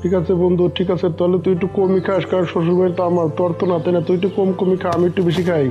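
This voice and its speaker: A man's voice: high voice, flat delivery, racing, mellow, close to an everyday voice. A man voicing a greedy, self-satisfied newlywed crow who coaxes and schemes in a cartoon fable. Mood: greedy, pleased. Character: greedy newlywed crow, male, pleased and sly. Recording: muffled lo-fi audio with faint music.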